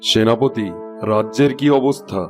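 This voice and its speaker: A man's voice: mid-range voice, moderate pace, music in the background, close to an everyday voice. A man voicing a caring but commanding king in a Bangla cartoon fairy tale.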